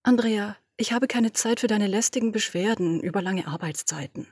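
A woman voicing an imperious, domineering female boss who talks in brisk, commanding, dismissive orders. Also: mid-range voice, darkish tone, breathy edge, no room sound, clean studio sound. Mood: impatient, imperious. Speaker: podfic reader. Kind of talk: German audio drama reading.

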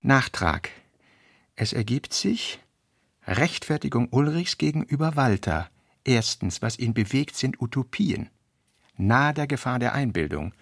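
Gravelly, husky man reading notes. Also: medium pitch, expressive, dry recording, clean studio sound. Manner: measured, fragmentary, deliberate. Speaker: reader. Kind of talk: reading literary notes for a radio play.

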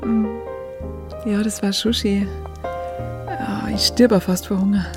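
A young Bavarian woman, shy yet bubbly, at a medium pitch. She speaks in a hesitant, colloquial way, naturalistic as in a radio play, with faint music behind. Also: fairly even intonation, measured pace, gravelly. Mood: shy, flustered. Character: shy, bubbly young female photography student. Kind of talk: naturalistic radio drama acting.

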